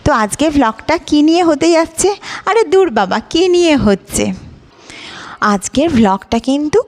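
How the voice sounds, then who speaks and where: A woman's voice: sits high, sing-song, normal speed. Cheerful woman vlogger. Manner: cheerful, addresses viewers as friends with many questions. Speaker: vlog host. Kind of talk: chatty vlog talk to viewers.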